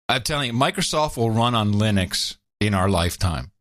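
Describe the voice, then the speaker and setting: A man co-hosting a podcast in casual chat, excitable and quick to laugh. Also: on the low side, no room sound, studio quality. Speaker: podcast co-host.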